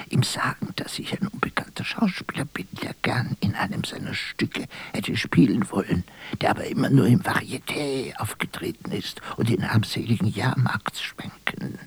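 An actor playing a frustrated, self-deprecating variety performer, confiding haltingly in an intimate radio drama monologue. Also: male voice, medium pitch, lively intonation, gravelly, husky, in a whisper, heavily acted. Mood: bitter confiding.

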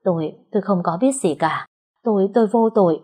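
A woman's voice: on the low side, steady tone, fairly quick, husky. A woman voicing a terrified young male servant who stammers in panic.

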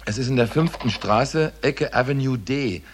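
An actor playing a weary, gruff veteran male detective in a German radio play, laconic and matter-of-fact. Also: medium pitch, moderate pace, slight background noise, natural voice. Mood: alert, businesslike.

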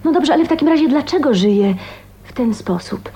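Spirited young woman, high-voiced. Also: lively intonation, fairly quick, warm tone. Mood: curious, puzzled. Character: kind, spirited 29-year-old woman, dreamy and plain-spoken. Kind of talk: Polish radio drama acting.

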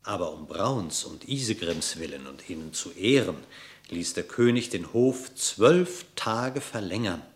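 Deep-voiced man narrating an epic fable. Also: animated. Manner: measured, epic storyteller tone. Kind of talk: scripted storybook narration in verse.

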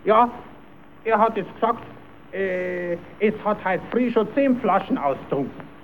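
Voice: medium pitch, expressive, a little slow, natural voice. A performer playing a cheeky puppet clown who stammers as he spins tall tales for children in a German radio play. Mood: storytelling. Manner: stammering, repeating himself, improvising tall tales. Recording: tinny, narrowband, hissy old radio recording.

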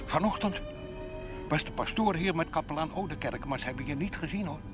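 Man acting gruff lonely country guy, highish voice, plain short phrases, old 70s tv drama w/ music. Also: expressive, fairly quick, close to an everyday voice. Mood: tender, mournful. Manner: plain-spoken, colloquial, muttering short phrases. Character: lonely, gruff rural man, grieving and defiant. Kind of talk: Dutch television period drama acting. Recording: 1970s TV soundtrack with music.

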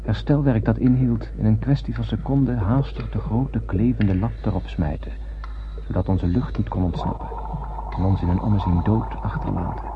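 An actor playing a brooding young spaceship crewman, narrating in a solemn, poetic and measured way in a radio drama. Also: fairly low pitch, fairly even intonation, natural voice. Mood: solemn, lonely. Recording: muffled, hissy old radio drama recording.

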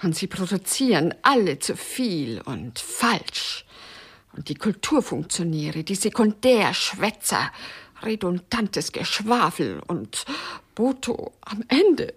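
An actress playing a poet's aggrieved wife in a satirical radio crime drama, speaking in a stilted, solemn, declamatory way. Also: female voice, medium pitch, very animated, slow, breathy edge, heavily acted. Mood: bitter, despairing. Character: stilted, aggrieved wife of a poet, pedantic, theatrical.

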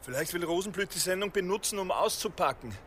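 A man's voice: high voice, quick pace, a bit bright, slight background noise. An actor playing an anxious man on the run in a radio crime drama, arguing and speculating in questions. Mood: anxious speculation.

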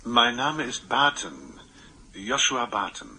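A man's voice: medium pitch, slow pace, pushed and bright, breathy edge, full character voice, low-quality recording. An actor playing a composed, formal and cagey man confessing to a murder in a German radio play. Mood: insistent, guarded.

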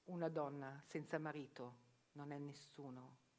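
A proud older sworn virgin who has lived as a man for decades speaks in a lowish, steady voice. The telling is stern and matter-of-fact, like testimony in a theatre monologue for radio. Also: a little slow, over loud music. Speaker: actress performing a theatrical reportage.

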